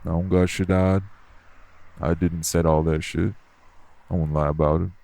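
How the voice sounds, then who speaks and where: A man playing a gruff, blunt, unbothered club worker with streetwise slangy talk in an audio roleplay. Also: low-pitched, level tone, moderate pace, background noise. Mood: casual, teasing. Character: gruff, blunt, unbothered tough guy working at a nightclub. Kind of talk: audio roleplay acting addressed to the listener.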